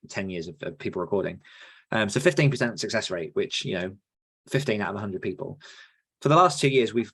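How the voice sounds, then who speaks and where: A man's voice: on the low side, a bit bright, slightly rough. A man hosting a podcast, explaining things earnestly in a casual, conversational way. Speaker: podcast host.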